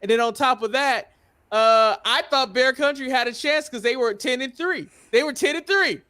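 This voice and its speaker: A man's voice: very high voice, moderate pace, bright, forceful tone. A man hosting a wrestling podcast in casual panel chat, steering the talk with his co-hosts. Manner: reads out viewer comments, puts questions to co-hosts.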